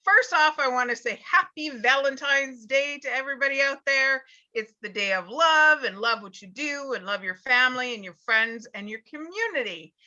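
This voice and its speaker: A woman's voice: sits high, animated, moderate pace, few pauses, pushed and bright, lo-fi sound. A woman hosting a live online business interview show, upbeat and asking her guest direct questions over a video call.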